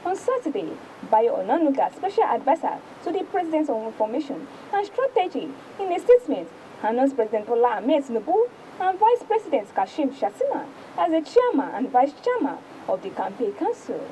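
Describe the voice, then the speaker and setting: A Nigerian woman presents a newspaper review in a high, mellow voice. Her formal reading swings up and down in pitch and sometimes stumbles, with a faint rumble of noise behind it. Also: big pitch swings, fairly quick, rough recording. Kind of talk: news reading and paper review.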